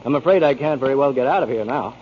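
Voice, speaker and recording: A man's voice: medium pitch, racing, natural voice. A man playing a polite, well-spoken doctor in a 1940s radio drama. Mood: apologetic, courteous. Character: polite, genteel doctor, a boat owner. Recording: muffled 1940s radio, faint noise.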